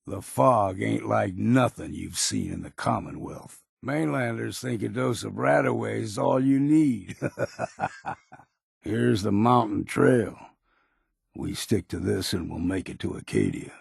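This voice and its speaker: Game companion role: weathered older island guide, heavy fry and rasp, blunt folksy drawl, wary and gruff. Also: male voice, fairly low pitch, unhurried, no echo, clean studio sound, exaggerated voice. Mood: steady, watchful. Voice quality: gravelly, raspy. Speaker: companion character in a video game. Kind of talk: video game voice lines.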